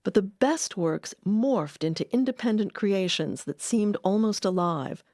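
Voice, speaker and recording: A woman's voice: medium pitch, animated, a little slow, darkish tone, no room sound, studio quality. A woman delivering scripted documentary narration.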